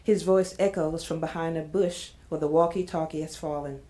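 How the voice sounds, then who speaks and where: Mellow, even-toned woman narrator. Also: low-pitched, normal speed. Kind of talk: scripted audio drama narration, reading screenplay directions.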